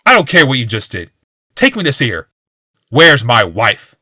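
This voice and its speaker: A man's voice: medium pitch, wildly swooping pitch, normal speed, pushed and bright, no room sound, studio quality, voice pushed up, exaggerated voice. A man voicing a bitter, paranoid, ailing male genius in an audiobook, growling and hissing with wrath. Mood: angry.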